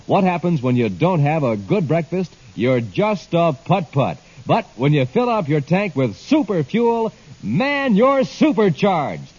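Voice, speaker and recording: Excited 50s radio ad guy selling to kids, voice high and swooping, kinda husky, dull old recording. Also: unhurried. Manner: excited, folksy hard sell. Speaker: announcer. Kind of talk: 1950s radio commercial pitch to children.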